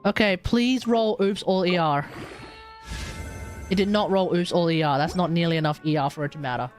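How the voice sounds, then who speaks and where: A man chatting casually and excitably on a gaming livestream, rambling and correcting himself as he goes. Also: high voice, moderate pace, faint music behind. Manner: animated, rambling, exclamatory, self-correcting. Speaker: live streamer.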